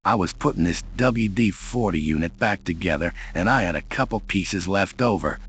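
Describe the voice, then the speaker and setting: A man playing a gruff, good-natured spaceship engineer in an adventure game. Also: low voice, lively intonation, moderate pace, a bit bright, heavily acted. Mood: folksy, helpful.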